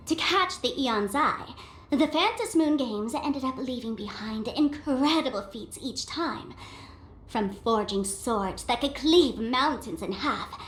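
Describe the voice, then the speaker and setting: A woman's voice: sits high, fairly bright tone, echoey room, full character voice. A woman voicing a sly, teasing, theatrical young female trickster in an anime-style video game.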